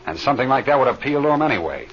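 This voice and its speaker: A seasoned, steady flight leader in a vintage radio adventure. He talks quickly and folksily, with lively swings in pitch. The recording is muffled and faintly hissy. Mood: troubled, serious. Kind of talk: old-time radio drama acting.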